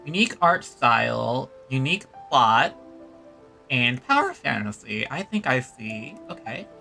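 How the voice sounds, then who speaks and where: A man's voice: mid-range voice, very animated, a little slow, creaky, bright, forceful tone, faint music behind. A man doing casual live gameplay commentary as a streamer, often muttering little asides to himself. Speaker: game streamer. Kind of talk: casual live gameplay commentary, reading some text aloud.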